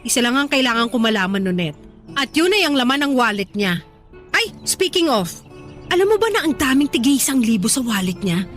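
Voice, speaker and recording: A woman's voice: on the high side, big pitch swings, a little fast, fairly bright tone, soft background music. A woman playing a brash, money-minded young resort worker in a Tagalog radio drama, sassy and dropping in English phrases. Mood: sassy, smug.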